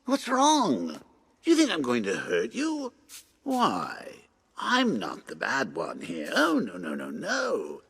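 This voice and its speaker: Manic clown villain from a game, gleeful n taunting, drawn out, swoops all over. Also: male voice, mid-range voice, wildly swooping pitch, slow pace, gravelly, fairly bright tone, breathy edge, heavily acted. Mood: mocking.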